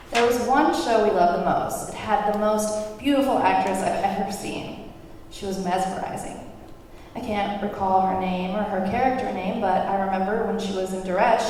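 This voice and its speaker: A woman's voice: medium pitch, a little mellow, close to an everyday voice. A woman playing a flippant, sweary, streetwise character in her mid-twenties at a script table read. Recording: echoey room, distant mic, background noise.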